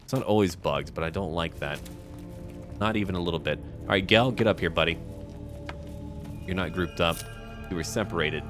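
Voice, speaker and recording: A man's voice: deep voice, normal speed, long pauses, music in the background. A man giving casual let's-play commentary, thinking aloud and chatting to the characters on screen as he plays. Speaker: gaming video host playing and narrating.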